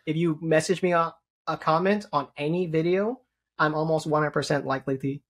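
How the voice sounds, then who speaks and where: A man in his thirties with a squeaky voice, a guest speaking earnestly and politely on a podcast over a video call. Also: steady tone, fairly quick. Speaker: guest YouTuber. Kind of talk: casual interview chat. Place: home room with gaming chair.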